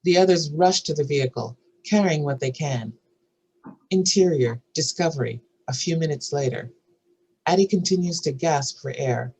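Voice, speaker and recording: A woman's voice: sits low, moderate pace, darkish tone, slightly rough, rough recording. A woman reading stage directions as scripted narration for a drama table read.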